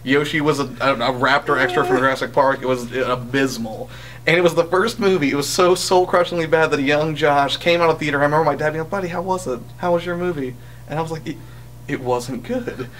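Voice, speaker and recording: A man's voice: fairly high pitch, quick pace, a bit bright, slight background noise. A young man co-hosting a casual podcast, giving wry, hyperbolic opinions on what he reviews. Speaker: podcast co-host. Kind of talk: casual chat, opinionated film and game review.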